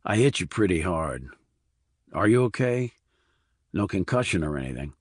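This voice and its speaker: A man playing a stoic, terse, low-key male army investigator in an audiobook. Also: very animated, moderate pace, slightly rough, no echo, studio quality, heavily acted. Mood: concerned, subdued.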